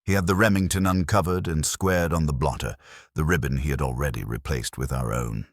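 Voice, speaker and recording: A man narrating a scripted detective story as an audiobook reader, with wry, deliberate phrasing. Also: a bass voice, fairly even intonation, no echo, clean studio sound.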